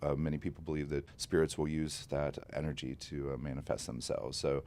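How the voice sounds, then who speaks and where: A man's voice: a bass voice, fairly even intonation, a little fast, gravelly, darkish tone. A middle-aged man who runs ghost tours, answering casually and easygoing, with many fillers. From Columbus, Ohio, US. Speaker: ghost tour guide and ghost hunter.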